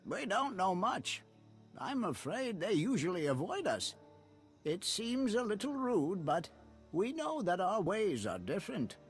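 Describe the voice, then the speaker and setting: Weirdly eager alien villager, high swoopy voice, slow and soothing. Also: male voice, big pitch swings, faint music behind, exaggerated voice. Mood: friendly, curious. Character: friendly alien villager, curious, overly welcoming. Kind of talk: video game voice acting.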